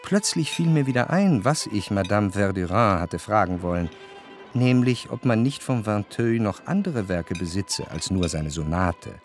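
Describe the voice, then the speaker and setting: An actor playing a reflective, cultured man who recalls his past in a literary radio drama, with measured, precise diction. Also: fairly low pitch, animated, faint music behind, natural voice. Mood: animated recollection. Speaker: narrator.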